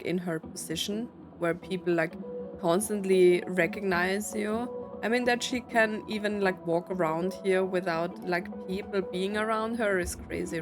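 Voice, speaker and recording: A woman giving casual, hesitant let's-play commentary, peppering her sentences with filler words. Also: on the low side. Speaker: streamer playing a game.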